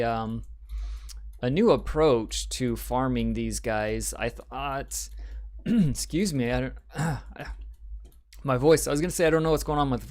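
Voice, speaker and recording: Slightly raspy, chatty gaming host man. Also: mid-range voice. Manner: chatty and rambling, with frequent ums and uhs. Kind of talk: casual Minecraft let's-play commentary.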